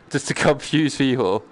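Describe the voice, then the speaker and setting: Animated, slangy man streaming a game. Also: medium pitch. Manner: slangy, sweary, joking. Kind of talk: casual live-stream gameplay chat. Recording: clear background noise.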